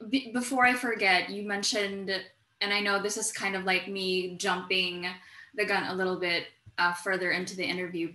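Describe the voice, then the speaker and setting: A woman's voice: mid-range voice, pushed and bright, reverberant. A woman hosting a casual interview in a chatty, rambling way, often interrupting herself. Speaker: interview series host.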